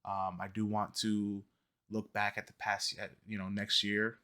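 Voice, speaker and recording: A man in his early thirties talking casually to camera as a vlogger, rambling with many ums and quick self-corrections. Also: on the low side, completely flat delivery, pushed and bright, clear, resonant tone. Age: 30s. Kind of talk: casual fitness vlog talk.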